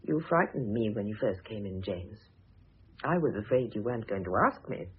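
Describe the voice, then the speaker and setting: An actress playing a composed, wry, middle-aged divorced wife in a vintage radio drama, speaking with dry, pointed self-possession. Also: female voice, a bass voice, lively intonation, normal speed, breathy edge, full character voice. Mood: reproachful. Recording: muffled, narrow-band old radio recording.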